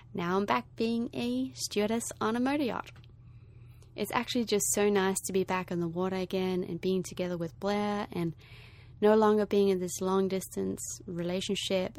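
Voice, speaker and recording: A woman's voice: mid-range voice, gravelly. A woman in her thirties from Denmark with a light Danish accent, hosting a podcast and chatting casually with lots of fillers. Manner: chatty, warm filler-laden storytelling. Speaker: podcast host. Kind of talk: podcast intro and casual interview talk.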